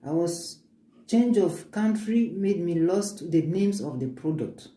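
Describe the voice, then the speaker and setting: A woman's voice: on the low side, lively intonation, slow pace, warm tone. A woman showing her homemade oils in a casual vlog, earnest and upbeat, with frequent restarts. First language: African language. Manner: earnest, upbeat, speaks of faith, many restarts. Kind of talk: casual show-and-tell, switching between English and French. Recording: echoey room with faint ambient noise.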